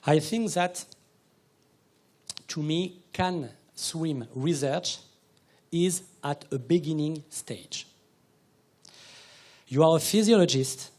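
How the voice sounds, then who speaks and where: A man presenting a research project on a conference panel, speaking as a with halting phrasing and many repeated words. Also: fairly high pitch, a little mellow.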